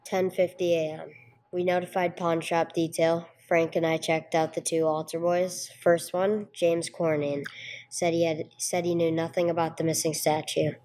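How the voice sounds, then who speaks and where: A woman's voice: deep voice, level tone, moderate pace, darkish tone, close to an everyday voice. A woman playing a no-nonsense police sergeant who narrates a case in a radio play, clipped and matter-of-fact. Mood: terse, dry. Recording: clear background noise, room ambience.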